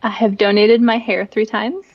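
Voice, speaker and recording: A woman's voice: mid-range voice, level tone, normal speed, dry recording, lo-fi sound, soft background music. A young adult woman chatting casually and giggly as a livestream guest, rambling through personal stories. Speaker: livestream guest, event director and dancer.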